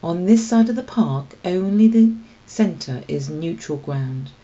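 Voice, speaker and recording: A woman's voice: on the low side, animated, slow pace, dark tone, dull audio. A woman voicing a tough, teasing female street cat in a children's storybook, with a blunt, mocking delivery. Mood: scornful, warning. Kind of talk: children's storybook read-aloud.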